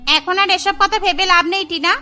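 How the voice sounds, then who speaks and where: A woman's voice: very high voice, steady tone, moderate pace, sharp tone, faint music behind, exaggerated voice. A woman voicing a practical young female friend in a cartoon, scolding and encouraging. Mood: firm advice.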